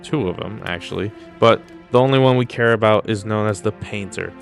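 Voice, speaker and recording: A man's voice: fairly low pitch, a little fast, gravelly, faint music behind. A man hosting casual comedic video commentary, mock-serious, blunt and crude with plenty of swearing. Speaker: YouTube host.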